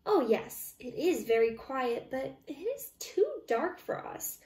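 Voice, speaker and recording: A woman's voice: high-pitched, big pitch swings, slow, darkish tone, full character voice. A woman voicing an eager, fussy city fox in a children's storybook, with emphatic, exclaiming delivery. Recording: roomy, echoey, distant microphone.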